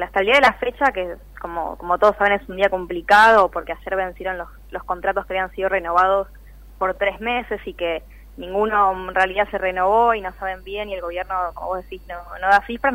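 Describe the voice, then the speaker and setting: A woman's voice: medium pitch, fairly even intonation, fast talker, fairly bright tone, no room sound, poor audio. A woman with a Rioplatense Argentine accent giving earnest, detailed, conversational answers in an interview. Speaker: interviewee, layoff-tracking activist. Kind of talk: spontaneous explanatory radio interview.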